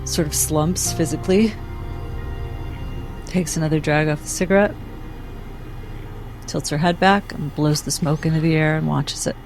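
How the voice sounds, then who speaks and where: A woman playing a weary, shaken spaceship doctor in a cinematic tabletop roleplay, quiet and restrained. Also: low voice, fairly even intonation, normal speed, pauses often. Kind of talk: cinematic tabletop roleplay with third-person narration. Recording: music clearly behind the voice. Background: music.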